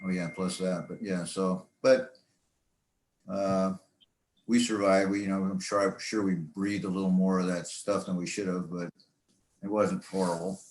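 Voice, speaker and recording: Man, low voice, folksy and halting delivery, informal presentation, roomy echo. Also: little pitch movement, darkish tone, slight background noise. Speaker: presenter, amateur radio hobbyist. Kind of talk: informal club slide talk. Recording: echoey room sound.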